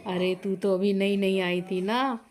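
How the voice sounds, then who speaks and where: A woman voicing a patient, wise older mother-in-law in a moral story, reassuring and gently preaching. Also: mid-range voice, fairly even intonation, slow, pitched below the performer's own voice, lightly acted.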